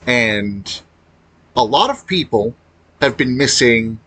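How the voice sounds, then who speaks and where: A man giving casual, unscripted opinion commentary as a gaming video creator, halting as he talks and blunt in his complaints. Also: mid-range voice, expressive, fairly bright tone.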